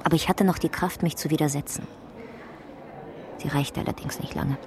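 A woman's voice: deep voice, steady tone, fairly quick, background noise. An actress in a German radio drama playing an earnest, troubled woman in a draining love, searching and introspective. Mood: melancholy, introspective.

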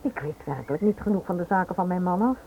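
A woman's voice: fairly low pitch, expressive, fast talker. A woman acting a genteel, guarded titled lady in a radio play, polite and reserved. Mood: guarded, uneasy. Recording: tinny, noisy old radio play recording.

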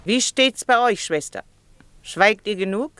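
An actress playing an aged, gently stern old woman in a German radio play. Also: medium pitch, animated, a little slow, smooth.

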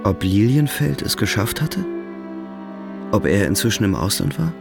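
Deep, creaky-voiced male radio drama narrator. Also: very animated, normal speed, over music.

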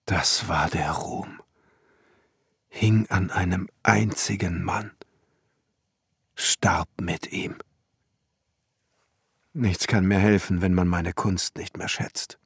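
An actor playing a bitter, brooding ruined court glassmaker in a German radio play, declaiming theatrically in poetic monologue. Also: male voice, sits low, steady tone, slow, gravelly, pauses often, fairly bright tone, breathy edge, no echo, full character voice. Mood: broken, resigned. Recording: studio radio play with music underneath.

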